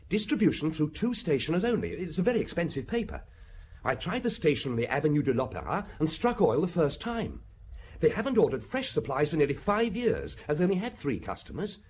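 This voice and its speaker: An actor playing a keen junior police detective, eagerly and methodically reporting in a radio drama. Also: male voice, on the high side, wildly swooping pitch, brisk, a little mellow. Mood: pleased, reporting.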